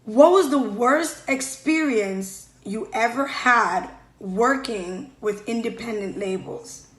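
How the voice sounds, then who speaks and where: Higher-voiced woman in an echoey room. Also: slow. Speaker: listener sending in a question. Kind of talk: casual recorded shout-out and question.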